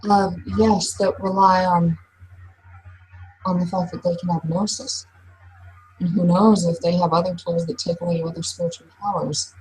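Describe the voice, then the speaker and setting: A woman playing a blunt, skeptical werewolf in a tabletop roleplay, probing with frank questions and pausing before the pointed ones. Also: fairly low pitch, level tone, a little mellow, slightly rough, low-quality recording. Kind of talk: in-character tabletop roleplay dialogue.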